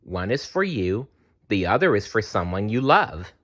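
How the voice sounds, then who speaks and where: A man's voice: fairly low pitch, very animated, normal speed, fairly bright tone. A man voicing friendly, caring storybook bears in a cheerful, reassuring manner.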